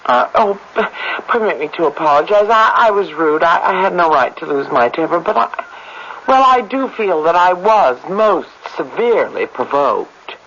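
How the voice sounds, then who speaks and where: A woman's voice: on the low side, animated, moderate pace, fairly bright tone. An actress playing a proud, romantic schoolteacher in her thirties, prim, tart and easily flustered, in a 1970s radio drama. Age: 30s. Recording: dull, faintly noisy old radio recording.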